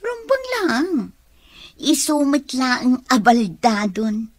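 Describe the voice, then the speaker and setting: An actress playing a scornful, scheming accomplice in a radio drama, disdainful and with a Filipino accent. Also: female voice, sits high, sing-song, slow, dry recording, exaggerated voice.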